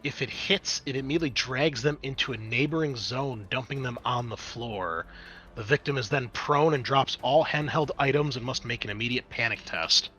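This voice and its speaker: Guy game mastering a tabletop rpg, clear forward bright voice, chatty then dramatic, faint music behind him. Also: medium pitch, normal speed. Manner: conversational, improvised explaining mixed with dramatic scene description. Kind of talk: narrating a tabletop roleplaying game.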